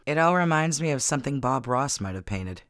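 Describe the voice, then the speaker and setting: A man's voice: medium pitch, expressive, brisk, a bit bright, no room sound, studio quality, lightly acted. A man voicing a friendly, curious woman on a date in an audiobook story. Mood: casual. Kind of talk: audiobook character dialogue.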